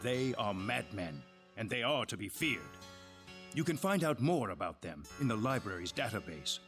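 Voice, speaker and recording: An actor playing a grave, courteous leader of an advanced civilization in a video game, speaking in formal, measured exposition. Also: male voice, fairly low pitch, big pitch swings, music in the background. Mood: solemn, urgent. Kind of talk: dramatic video game dialogue.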